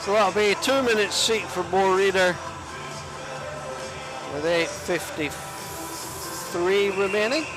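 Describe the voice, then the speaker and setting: A man giving live play-by-play commentary on an ice hockey game, chatty and self-deprecating, sometimes pausing to find his words. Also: high-pitched, rough recording. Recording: music clearly audible behind the voice. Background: music.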